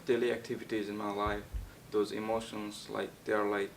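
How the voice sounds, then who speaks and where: Young man from Nepal, deep gravelly voice, flat delivery, halting with fillers, distant mic with faint rumble. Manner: halting, many fillers and restarts. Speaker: guest actor. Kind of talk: casual interview.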